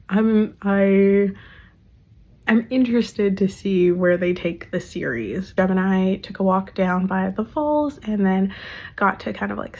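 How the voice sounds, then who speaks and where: A young woman, chatting casually about her monthly favorites, gushing and filler-heavy, her voice worn and hoarse. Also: mid-range voice, a little mellow. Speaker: vlogger.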